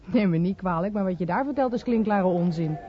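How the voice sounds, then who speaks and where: Actress as a matter-of-fact, skeptical barmaid. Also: female voice, medium pitch, brisk, natural voice. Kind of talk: Dutch radio play acting. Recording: dull old radio play recording.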